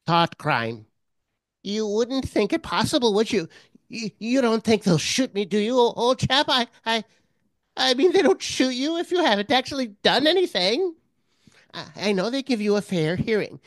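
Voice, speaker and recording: Woman acting a timid, stammering male prisoner, swinging pitch. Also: big pitch swings, moderate pace, no room sound. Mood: anxious, pleading. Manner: stammering, ingratiating. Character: timid, eager middle-aged male prisoner, pathetically loyal party man. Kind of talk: radio drama acting.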